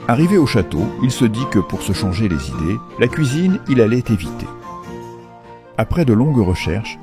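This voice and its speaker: Male storyteller: low voice, very expressive intonation, playful scripted children's narration over clear music. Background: music under the voice.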